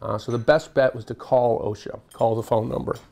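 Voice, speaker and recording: A man with a naturally booming, gravelly voice talks through a safety workshop in a meeting room. He keeps it conversational and jokey while explaining the rules to the people in front of him. His pitch sits in the ordinary male middle. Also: steady tone, a little fast, a little mellow. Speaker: safety presenter. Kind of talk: live workshop presentation.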